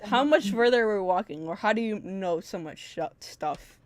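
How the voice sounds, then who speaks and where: A woman's voice: mid-range voice, lively intonation, moderate pace, a little hiss or hum. Mock-exasperated, giggly woman streamer. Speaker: livestreamer. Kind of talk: casual chat while gaming.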